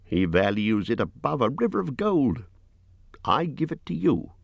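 A man voicing a small, fussy male hobbit who talks in a squeaky, hurried, apologetic way. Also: big pitch swings, vocal fry, slightly rough, no room sound, exaggerated voice. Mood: earnest.

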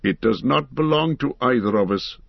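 A man reading the part of a grave, weary heir of kings, speaking calmly and earnestly. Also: medium pitch, moderate pace, vocal fry, no echo, highs cut off, pitched above the performer's own voice. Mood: dignified. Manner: calm, dignified, earnest.

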